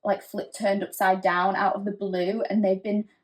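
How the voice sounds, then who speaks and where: A woman giving a pick-a-card tarot reading in a gentle, reassuring, chatty way, with frequent fillers. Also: medium pitch, level tone, moderate pace. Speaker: tarot reader.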